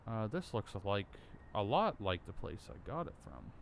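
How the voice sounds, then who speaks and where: A young American man with a bassy voice, rambling through casual commentary while playing a driving game, full of ums and likes. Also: very animated, normal speed, creaky, slight background noise. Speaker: gaming YouTuber.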